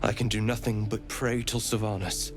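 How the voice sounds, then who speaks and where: A man's voice: fairly low pitch, fairly even intonation, normal speed, over music, close to an everyday voice. An actor playing a male druid who speaks politely and regretfully. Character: apologetic male druid of a grove.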